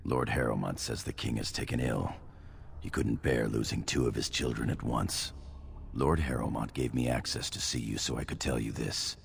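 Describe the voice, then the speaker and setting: An actor playing a loyal, sombre dwarf knight in a fantasy game, grave and formal, with a gravelly voice. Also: male voice, steady tone, normal speed, exaggerated voice. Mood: grave concern. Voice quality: gravelly, very deep. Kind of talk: fantasy video game acting. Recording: game dialogue over noisy ambience.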